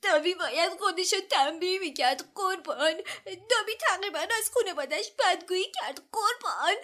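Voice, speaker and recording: A woman voicing a small, servile male house-elf who sobs, squeaks and calls everyone sir. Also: expressive, fairly bright tone. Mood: pleading. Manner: squeaky, sobbing, self-abasing, says sir constantly. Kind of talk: audiobook character voice.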